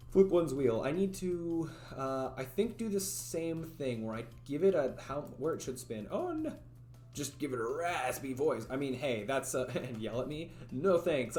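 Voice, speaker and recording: A man streaming live, thinking aloud as he codes and chats with viewers, his voice a little raspy from talking for hours. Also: medium pitch, darkish tone. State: voice getting raspy from long talking. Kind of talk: casual livestream coding commentary. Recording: roomy echo, faint music.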